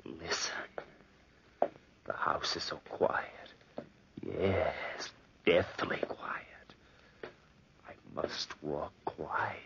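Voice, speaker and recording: An actor playing an obsessed madman plotting murder in an old radio horror play, brooding and breathy, repeating himself fixedly. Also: extremely low pitch, steady tone, heavily acted. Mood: hushed, menacing. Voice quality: breathy whispering delivery. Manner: slow, brooding, fixated, repeating phrases. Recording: dull, noisy old radio recording.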